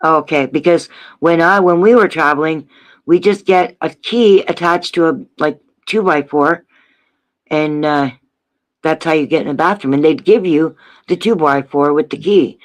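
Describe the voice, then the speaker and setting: A man from Canada with a Canadian accent, chatting casually on a panel in a halting way, repeating phrases. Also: on the high side, poor audio. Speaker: panel participant.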